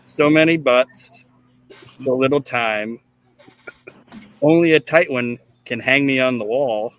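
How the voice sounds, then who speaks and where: A man hosting a casual comedy podcast, chatting and reading things out in a deadpan way. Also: medium pitch, slow pace, clean tone, rough recording. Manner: deadpan, monotone when reading. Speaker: podcast host. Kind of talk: casual comedic podcast chat with mock recitation.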